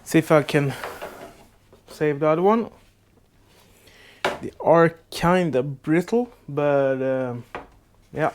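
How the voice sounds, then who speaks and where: Slow, halting Swedish-accented man. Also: fairly high pitch, a little hiss or hum. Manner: halting, many filler uhs and restarts. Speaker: boat restoration vlogger. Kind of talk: casual how-to narration. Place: makeshift boat workshop.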